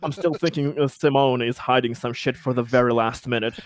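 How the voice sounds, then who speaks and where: Excitable, stammering man swearing freely. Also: medium pitch, fairly quick, a little hiss or hum. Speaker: tabletop roleplaying game player. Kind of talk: casual post-game chat.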